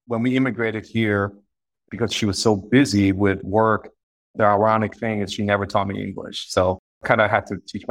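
A man in his thirties from northeast China, talking earnestly and reflectively in a casual interview, often repeating words as he restarts. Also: lowish voice. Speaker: guest, company founder.

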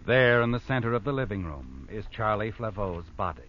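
An actor playing a sinister all-knowing storyteller in 1940s radio suspense narration, knowing and ominous. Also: male voice, on the low side, sing-song, a little fast. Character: sinister omniscient male storyteller taunting the guilty. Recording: dull old 1940s radio recording.

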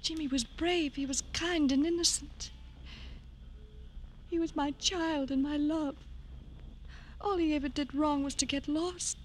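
An actress playing a gentle, grieving young woman, soft-spoken, earnest and deliberate, in old-time radio drama style. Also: sits high, fairly even intonation, slight background noise, rough recording. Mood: anguished.

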